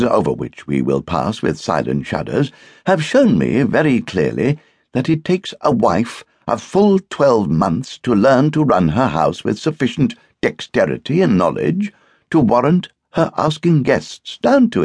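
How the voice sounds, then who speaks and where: A man's voice: on the low side, wildly swooping pitch, measured pace, darkish tone, slightly rough, no room sound, studio quality, voice pushed up, full character voice. A man playing a droll, theatrically pompous houseguest. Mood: indignant. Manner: pompous, droll, mock-wounded. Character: witty, teasing, theatrically indignant English male houseguest.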